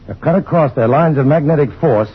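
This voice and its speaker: An American actor playing a calm, reassuring, educated scientist hero in an old-time radio drama. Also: male voice, a little high, a little fast, slightly rough. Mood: urgent, explanatory. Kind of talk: 1950s radio drama acting. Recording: dull, lo-fi old radio, faint noise.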